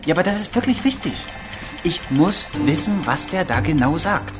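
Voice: medium pitch, big pitch swings, normal speed, lightly acted. A performer playing a puzzled investigative reporter in a radio drama, pressing for answers with some impatience. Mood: insistent. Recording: prominent music under the dialogue. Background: music.

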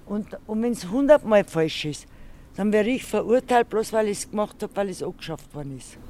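A man's voice: high-pitched, expressive, normal speed, warm tone. A man with a Bavarian accent giving blunt, colloquial opinions in a street interview. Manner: blunt, gruff wording. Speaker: local passer-by being interviewed. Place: outdoors on a street. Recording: faint traffic noise.

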